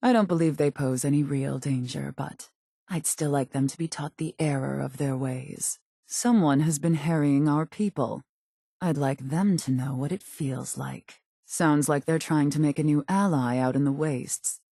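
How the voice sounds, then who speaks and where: An actress voicing a cold, calculating raider gang leader in a video game, composed and formal, with measured, quietly menacing delivery. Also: female voice, sits low, gravelly, dry recording, clean studio sound. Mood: cool, calculated. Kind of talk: video game character voice acting.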